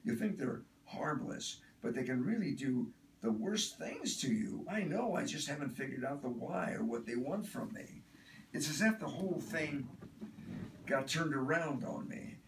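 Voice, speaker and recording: Man acting gravelly, chatty earnest do-gooder. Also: on the high side, lively intonation, measured pace. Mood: troubled. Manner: conversational, confiding, emphatic. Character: earnest, chatty, self-absorbed do-gooder recounting childhood. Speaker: author reading own work. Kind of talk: dramatic first-person monologue reading. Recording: roomy, distant mic, faint noise.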